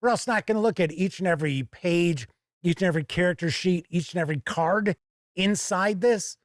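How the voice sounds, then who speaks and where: Guy doing a review video, slightly high voice, real dry close studio sound, punches his words. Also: no echo. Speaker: host and board game reviewer. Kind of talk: scripted-sounding review presentation to camera. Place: indoor home studio.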